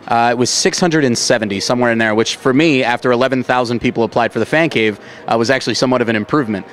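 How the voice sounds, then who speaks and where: Young american dude with trained voice, interview chat outside, talks really fast, bit of city noise. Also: male voice, medium pitch. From Baltimore, United States. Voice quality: trained theater voice. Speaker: stadium public address announcer, interview guest. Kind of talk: conversational interview answers. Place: outdoors at a ballpark. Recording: faint outdoor urban background noise.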